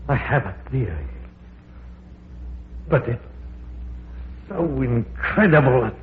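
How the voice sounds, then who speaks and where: A man playing a grave, learned professor in an old radio horror drama, speaking in a deliberate, theatrical, explanatory way. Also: medium pitch, very animated. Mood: grave, speculative. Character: learned, grave male professor exploring dark caverns. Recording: noisy, tinny, echoey old radio recording.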